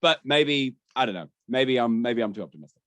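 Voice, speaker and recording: A man's voice: medium pitch, animated, a little fast, a bit bright, dry recording. A man hosting a gaming podcast, talking through the news in a candid, sweary, conversational way. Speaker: podcast host.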